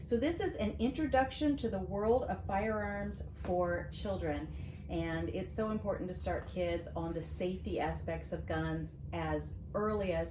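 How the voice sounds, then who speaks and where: A middle-aged American woman reading a storybook aloud to a child, with casual asides and questions, in an expressive, teacherly manner. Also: medium pitch. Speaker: host reading to her granddaughter. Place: home library, seated at a desk. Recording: roomy echo, clear background noise.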